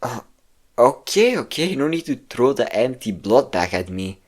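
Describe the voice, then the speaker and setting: A man's voice: medium pitch, big pitch swings, unhurried, a little mellow, a little hiss or hum. A man playing a possessive, cocky vampire captor in an intimate roleplay audio drama, coaxing and smug, with theatrical endearments. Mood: cocky, teasing.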